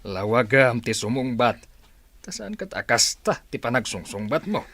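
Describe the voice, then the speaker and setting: A man's voice: medium pitch, sing-song, moderate pace, fairly bright tone, no room sound. A man with a Filipino accent playing a stern, exasperated middle-aged father in an audio drama. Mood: stern, scolding.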